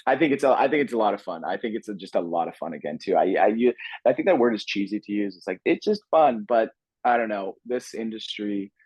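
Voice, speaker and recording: A man's voice: fairly low pitch, expressive, fast, darkish tone, slightly rough, dry recording, low-quality recording. A man chatting casually and informally as a film director in an interview. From Canada. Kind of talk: casual chat.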